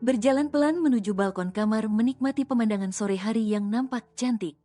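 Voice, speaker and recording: A woman narrating a romance audio novel in a steady, smooth storytelling manner. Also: fairly high pitch, a little fast. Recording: soft music underneath.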